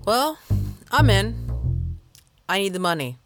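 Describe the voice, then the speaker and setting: A woman's voice: medium pitch, sing-song, takes it slowly. An actress playing a wry, money-minded female stage performer in a radio play. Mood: wry, intrigued. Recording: music behind the voice.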